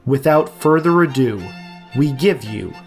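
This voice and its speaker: A man's voice: medium pitch, expressive, vocal fry, soft background music. A man reading a formal scripted introduction as announcer for an audio drama series. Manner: formal, slow and deliberate.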